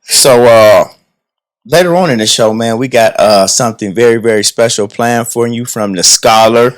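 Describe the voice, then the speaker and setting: A man hosting a podcast, introducing a guest slowly and joking loosely with his co-hosts. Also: mid-range voice. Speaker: podcast host. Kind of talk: casual podcast chat.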